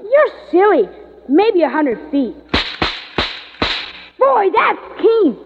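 Worried little boy, super high voice, whiny n scoffing, goes up and down a lot, tinny old radio. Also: wildly swooping pitch, very slow, heavily acted. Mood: wary, scoffing. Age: child. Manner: fretful, scoffing, slangy. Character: cautious, whiny young boy, anxious to go home. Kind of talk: 1950s radio drama acting. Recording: tinny hissy 1950s radio recording.

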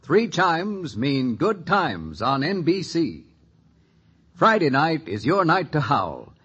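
A man's voice: fairly high pitch, sing-song, slow pace, fairly bright tone, faint music behind. An American man reading a scripted 1950s radio network promo as the announcer. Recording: muffled old radio broadcast recording.

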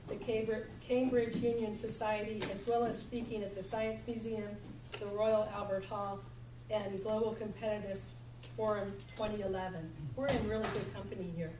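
A tired woman with a gravelly voice introducing a guest speaker, reading from notes and joking lightly. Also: mid-range voice. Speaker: event host introducing speaker. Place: lecture hall with audience. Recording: echoey hall from far off with noise.

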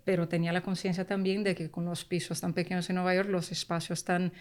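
Lady, lowish voice, loose chatty guest, laughs a lot. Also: level tone, fast. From Brazil. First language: Portuguese. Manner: warm, laughs often, trailing restarts. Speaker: guest soprano. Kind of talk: casual interview chat.

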